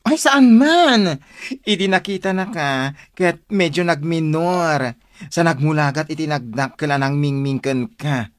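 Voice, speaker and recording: A woman's voice: deep voice, measured pace, no echo, studio quality. A woman playing a chatty, giddy young woman with an exclaiming, gossipy delivery in a radio drama. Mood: giddy gushing.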